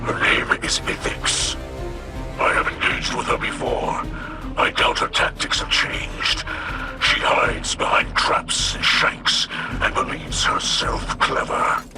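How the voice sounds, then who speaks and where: A grave alien pirate captain played by a man, speaking slowly and formally in a breathy growl over loud music. The delivery is solemn and weighty, made for a video game cutscene. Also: close to an everyday voice, rough recording. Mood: stern, menacing. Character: grave alien pirate captain, weary, fierce yet honorable.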